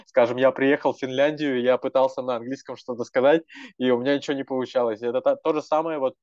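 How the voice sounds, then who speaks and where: A man's voice: medium pitch, fairly even intonation, fast, darkish tone, no echo, lo-fi sound. A young man talking casually and earnestly as a language activist, rambling with many fillers and restarts. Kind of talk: casual panel talk.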